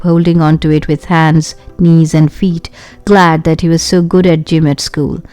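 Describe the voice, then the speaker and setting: A woman reading a children's adventure story aloud in an expressive storytelling style. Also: low voice, dry recording. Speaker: audiobook narrator.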